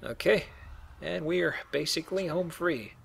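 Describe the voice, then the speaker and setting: A man's voice: a little high, moderate pace, creaky, fairly bright tone, slight background noise. A man giving casual, rambling, explanatory commentary while playing a video game. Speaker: let's play host, playing the game.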